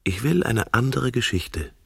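An actor playing a weary, stubborn middle-aged husband in a radio drama, husky and creaky, terse and sardonic. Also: male voice, medium pitch, lively intonation, measured pace, dry recording, clean studio sound, heavily acted. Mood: wistful, stubborn. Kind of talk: intimate modern radio drama dialogue.

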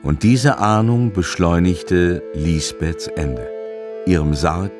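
Male narrator, very low voice, measured literary storytelling, radio drama, music behind.

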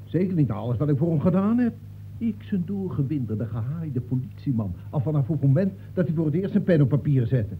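Blustering, higher-voiced veteran policeman. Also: big pitch swings, a little fast, phone-quality sound. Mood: indignant. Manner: blustering, self-important. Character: indignant, boastful, seasoned male policeman from a novel. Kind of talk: old radio play acting. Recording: thin, noisy old radio recording.

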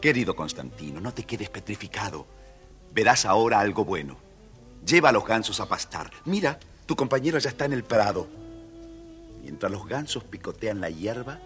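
A man's voice: lowish voice, very animated, normal speed, fairly bright tone, soft background music. A man reading a scripted children's story as its narrator.

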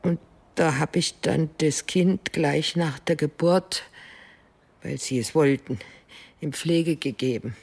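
An actress playing a secretive older mother in a radio drama, defensive and evasive, speaking haltingly. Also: sits low, vocal fry, a little mellow, breathy edge, full character voice. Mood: halting, ashamed. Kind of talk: naturalistic German radio drama acting.

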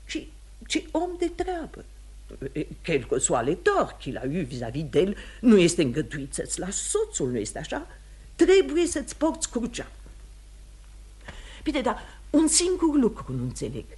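A genteel, middle-aged aristocratic mother in a radio drama. Her husky voice swings widely in pitch as she moralizes, proud and anxious, with theatrical feeling. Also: darkish tone. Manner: genteel, emotional, slips into French.

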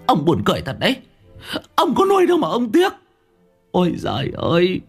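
A man's voice: high voice, huge pitch swings, brisk, breathy edge, faint music behind, pitched above the performer's own voice, full character voice. A man voicing an older village wife who shrieks a long, rhythmic tirade of curses at a chicken thief. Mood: indignant.

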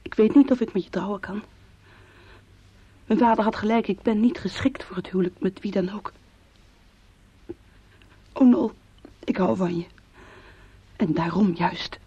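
A woman's voice: mid-range voice, a little slow, long pauses, warm tone, breathy edge, no room sound. An actress in a radio play, playing an anguished young woman who speaks in an intimate, imploring way of love and fear. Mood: pleading. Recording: dull old radio play recording.

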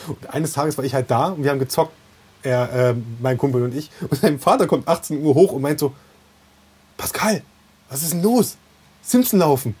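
A man co-hosting a podcast, telling casual anecdotes in an animated, conversational way. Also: on the high side, moderate pace, breathy edge. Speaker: podcast co-host. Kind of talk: casual chat, animated storytelling.

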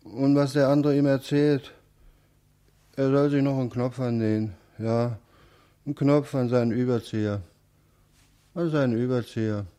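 An actor playing a slow, marvelling bystander in a radio play monologue, speaking in deliberate short phrases. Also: male voice, medium pitch, fairly even intonation, mellow, lightly acted. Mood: musing recognition. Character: slow, marvelling male bystander gawking at a crowd.

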